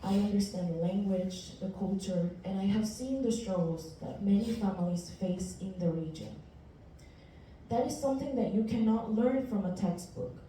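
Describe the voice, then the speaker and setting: Echoey, very mellow young woman presenting. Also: medium pitch, moderate pace. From Ecuador. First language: Spanish. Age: 20s. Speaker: university student presenter. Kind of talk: prepared class presentation. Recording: echoey room, distant mic, faint noise.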